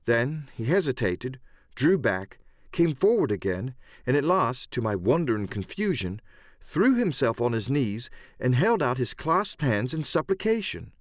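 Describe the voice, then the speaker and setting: A man giving dramatic, measured, theatrical narration of an adventure novel. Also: mid-range voice, sing-song, no echo, studio quality. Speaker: audiobook narrator.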